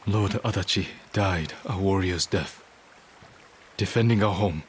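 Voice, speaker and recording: Stoic, honorable young samurai lord for a game cutscene: low, measured, solemn delivery. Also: male voice, slight background noise. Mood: earnest. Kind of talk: dramatic video game cutscene acting.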